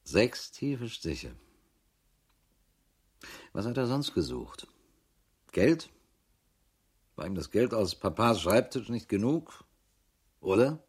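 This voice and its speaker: An actor in a radio crime drama playing a sardonic, world-weary police chief in his fifties with a husky voice, grumbling as he talks. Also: fairly low pitch, sing-song, takes it slowly, pauses often, heavily acted. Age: 50s.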